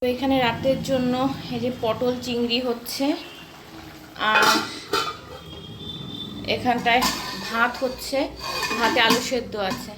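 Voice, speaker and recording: A woman's voice: a little high, level tone, measured pace, long pauses, heavy background noise. A woman narrating a casual daily household vlog as a homemaker, chatting to her viewers. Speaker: homemaker vlogger.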